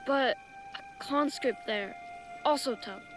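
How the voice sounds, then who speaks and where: An actress voicing a frightened, earnest child recruit in a video game, speaking haltingly and anxiously. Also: female voice, high-pitched, over music. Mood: nervous, earnest. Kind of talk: video game cutscene acting.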